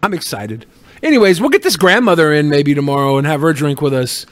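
A man with a high-pitched voice co-hosts a casual show. He talks in profane comedic banter, crude and excitable, always joking around. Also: brisk. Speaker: show co-host.

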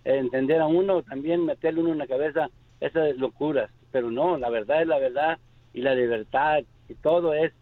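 A man's voice: a little high, a little fast, dry recording. A man calling in to a radio show, rambling and repeating himself as he makes his point. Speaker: caller. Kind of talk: call-in comment. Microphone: telephone. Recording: tinny, dry phone line.

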